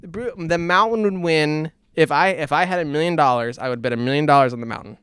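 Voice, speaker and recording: A man's voice: on the high side, fast talker, a bit bright, smooth, dry recording, studio quality. A young man with a Californian accent, chatting and telling anecdotes as a podcast host in an opinionated, emphatic, rambling way. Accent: Californian American. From California, United States. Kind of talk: casual chat, anecdotes.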